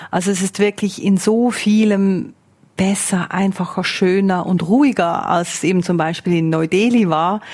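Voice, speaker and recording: Low-voiced Swiss woman reporting. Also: a little mellow. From Switzerland. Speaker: radio foreign correspondent. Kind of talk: interview answers, descriptive reporting.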